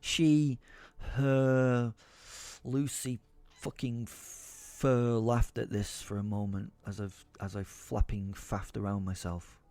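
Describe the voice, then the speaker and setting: A man's voice: medium pitch, little pitch movement, slow pace, warm tone. An actor playing a foul-mouthed, ranting comic werewolf who narrates his own audio drama in a theatrical, rambling, sweary way. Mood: indignant. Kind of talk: dramatised first-person storytelling. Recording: music under the voice.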